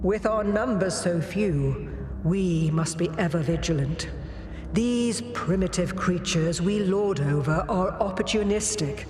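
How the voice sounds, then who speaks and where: A performer voicing an aging, stern alien former ruler in a game, speaking in a measured, solemn, commanding manner. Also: medium pitch, natural voice. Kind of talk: scripted dramatic game cutscene dialogue. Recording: long hall reverb over loud music.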